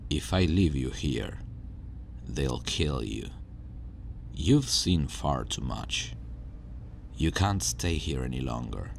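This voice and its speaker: Brooding supervillain scientist for ASMR roleplay, played by a man: extremely low gravelly voice, slow deliberate delivery, menacing yet regretful. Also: a little mellow, a little hiss or hum, exaggerated voice. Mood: resolute, softer. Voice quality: very deep, gravelly. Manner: slow, deliberate, sighing, dramatic pauses. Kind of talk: ASMR roleplay, dramatic acting.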